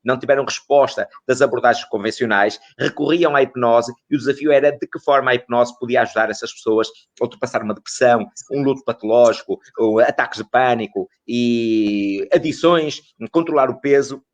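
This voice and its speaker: A middle-aged man from Portugal speaks at a medium pitch. He explains things didactically as an expert interview guest, building his points with repetition and rhetorical questions. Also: brisk. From northern Portugal.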